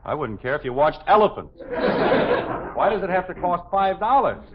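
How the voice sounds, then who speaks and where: Blustery, exasperated American dad, old radio. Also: male voice, high-pitched, very animated, moderate pace. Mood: sarcastic, stern. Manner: blustery, sarcastic, lecturing. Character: exasperated, thrifty father of a 1950s family. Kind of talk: 1950s radio sitcom acting. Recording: muffled old radio recording.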